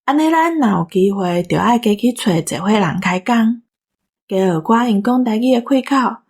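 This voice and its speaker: A woman's voice: on the high side, animated, normal speed, darkish tone, slightly rough. A woman with a Taiwanese accent chatting casually as a co-host. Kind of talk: casual conversational chat.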